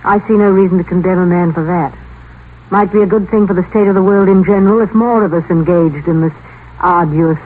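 A man's voice: high voice, slight background noise. A man giving formal, scripted commentary on a radio program in an earnest, literary manner. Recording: tinny 1950s radio broadcast.

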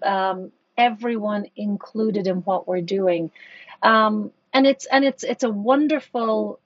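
A woman's voice: mid-range voice, slightly rough, dry recording, lo-fi sound. A woman from Ireland, a corporate executive talking thoughtfully as a podcast guest, with many restarts. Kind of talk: conversational podcast interview.

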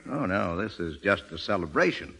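An actor playing a gruff but decent demoted detective, terse and downbeat, in a 1930s radio drama. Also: male voice, low voice, sing-song, normal speed, a bit bright, heavily acted. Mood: weary, guarded. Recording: vintage radio recording, long reverb, faint music.

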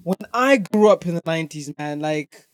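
A man's voice: a little high, lively intonation, normal speed, no echo. This is a young man from Zimbabwe hosting his own podcast. He talks in a casual, opinionated rant full of slang and swearing, and he drives points home by repeating them. The sound is dry, with very little room around his voice. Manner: emphatic, repetitive, heavy swearing. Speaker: podcast host.

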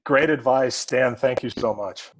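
A man's voice: mid-range voice, unhurried, no room sound, low-quality recording. An American man hosting a sports podcast, interviewing in a conversational way. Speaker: podcast host and sports broadcaster.

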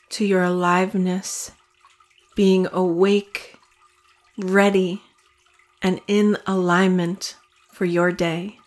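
A woman guides a short meditation in a calm, soothing voice. She speaks very slowly, leaving long pauses between phrases. Her pitch stays level and even throughout, never rising or falling much. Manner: slow, soothing, evenly paced with long pauses.

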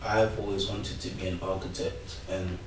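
Give me a teenage boy with a flat delivery, in a very echoey room. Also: low voice, normal speed. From North West London. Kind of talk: casual interview answers. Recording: distant, noisy, very echoey room.

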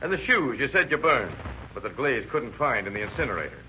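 An actor playing a tough, sardonic police detective in 1930s radio crime drama, blunt, slangy and commanding. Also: male voice, mid-range voice, big pitch swings, fairly quick, sharp tone. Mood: firm, pressing. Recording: muffled, noisy old radio recording.

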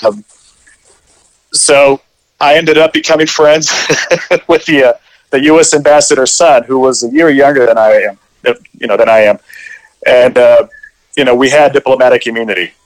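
Puerto rican guy in his 40s rambling through his story on a podcast, you know this and you know that, middle pitched. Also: steady tone, normal speed, pauses often, no room sound, low-quality recording. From Puerto Rico. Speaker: podcast guest. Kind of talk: casual storytelling chat.

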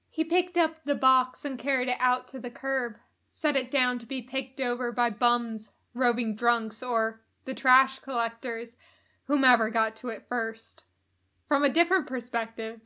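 A woman reading a story aloud as expressive scripted narration. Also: a little high. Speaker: audiobook reader.

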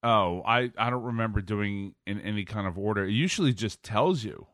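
A man co-hosting a casual gaming podcast, easygoing, laughing often and chiming in with quick agreement. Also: on the low side, lively intonation, fairly bright tone, no echo, studio quality. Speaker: podcast co-host.

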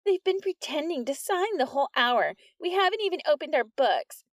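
Woman doing a shrill tattling schoolgirl. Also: expressive, moderate pace, no room sound, clean studio sound. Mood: indignant. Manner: high and insistent.

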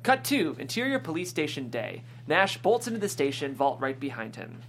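A woman's voice: deep voice, very animated, moderate pace, slight background noise. A woman reading scripted narration and stage directions at a comedy table read.

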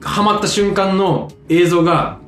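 A man chatting casually as a podcast co-host, animated and explaining things with vivid sound words. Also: high-pitched, measured pace, echoey room. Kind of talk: casual chat.

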